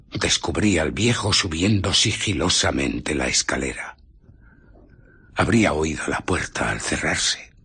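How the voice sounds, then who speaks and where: Radio drama: irritable, obsessed scientist, Castilian Spanish, very husky, intense, widely swinging delivery. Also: male voice, low-pitched, fairly bright tone, heavily acted. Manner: brooding, intense. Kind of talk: radio drama acting, first-person narration.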